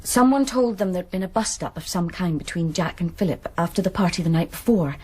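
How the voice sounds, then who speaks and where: An actress playing a troubled, reluctant young student in a radio drama, confiding hesitantly in a husky English voice. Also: female voice, on the low side, a little fast, warm tone. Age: young adult.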